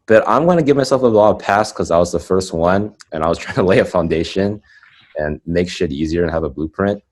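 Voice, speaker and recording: A young man with a very deep, low voice talks in a loose, rambling way, leaning hard on "like" and "um". It is easygoing group chat. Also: a little fast, a little mellow. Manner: rambling, heavy use of "like" and "um". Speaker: podcast guest. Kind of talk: casual chat.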